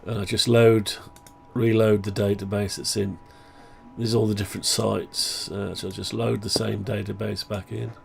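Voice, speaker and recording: A man talking through a project update on his own software, rambling and thinking aloud, voice groggy from the flu. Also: on the low side, flat delivery, moderate pace, a little hiss or hum. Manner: rambling, thinking aloud, frequent throat clearing. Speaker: hobbyist maker showing his own software. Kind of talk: casual screen-share project update.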